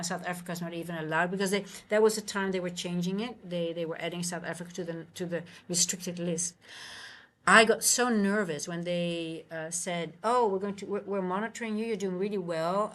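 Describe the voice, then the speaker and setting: Chatty Dutch woman coaching traders. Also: mid-range voice. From Netherlands. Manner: chatty, repeats words, frequent restarts and fillers. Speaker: trading coach streaming live. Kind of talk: casual live-stream chat.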